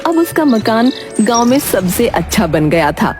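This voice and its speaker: A woman's voice: a little high, very animated, unhurried, over music. A woman narrator reading a scripted cartoon story.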